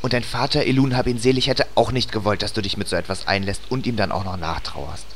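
Overprotective elf mother, played by a man, low voice, motherly scolding, comedy audio play. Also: steady tone, quick pace, fairly bright tone. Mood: reminiscing. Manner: fussing, coaxing, motherly scolding. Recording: clearly noisy amateur recording.

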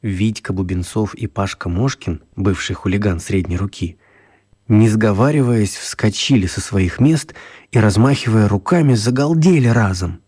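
A male actor as the storyteller of a children's radio play, narrating expressively in a husky voice. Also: fairly low pitch, normal speed, darkish tone. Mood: storytelling.

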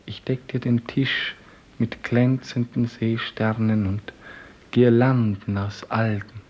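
An actor playing a devoted, dreaming clerk in love, speaking solemn poetic lines in a 1950s German radio play. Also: male voice, medium pitch, flat delivery. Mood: tender, rapturous. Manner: solemn, poetic, slow declamation. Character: devoted dreaming office clerk, tender and rapturous lover. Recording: dull 1950s radio recording.

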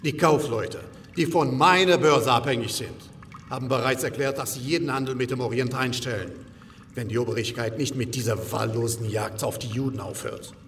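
An actor playing a proud, shrewd and wealthy merchant banker in a German historical radio drama, formal and pointed, courteous with an edge of menace. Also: male voice, mid-range voice, normal speed, a bit bright, close to an everyday voice. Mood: cold, commanding. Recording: echoing hall, faint ambient noise.

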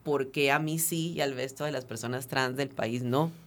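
A man from Venezuela speaks as an activist guest on a talk program, explaining procedures in earnest detail. He often pauses on fillers and restarts his phrases, in a voice of ordinary pitch. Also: fairly even intonation, fairly bright tone. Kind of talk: radio interview, explanatory.

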